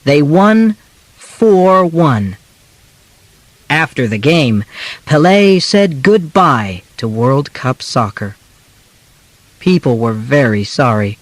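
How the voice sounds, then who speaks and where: A man reading a scripted audiobook in simple, measured, clearly phrased short segments. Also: fairly high pitch, big pitch swings, dry recording. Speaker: audiobook narrator. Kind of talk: scripted narration of a simplified biography for learners.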